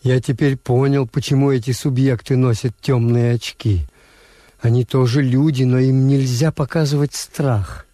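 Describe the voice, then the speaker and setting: Актёр играет усталого ироничного хозяина гостиницы, который сдержанно и сухо ведёт рассказ в советской радиопостановке. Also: male voice, mid-range voice, steady tone, normal speed, dark tone, close to an everyday voice. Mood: measured, wry. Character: weary, ironic male hotel owner recounting events. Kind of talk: Soviet radio drama narration and dialogue.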